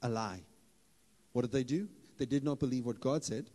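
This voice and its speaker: Pastor preaching in a reverberant hall. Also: male voice, medium pitch, moderate pace. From South Africa. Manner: animated, playful, rises to loud emphatic bursts. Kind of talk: sermon. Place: church hall stage with audience. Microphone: handheld mic. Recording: hall reverb, live church PA.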